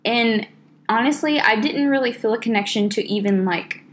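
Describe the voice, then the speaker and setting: A woman's voice: fairly high pitch, normal speed. Girl in her 20s just chatting on a podcast, rambly, says like a lot, bit of faint room hiss. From Southern United States. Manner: rambling, full of "like" fillers. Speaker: podcast co-host. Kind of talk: casual chat.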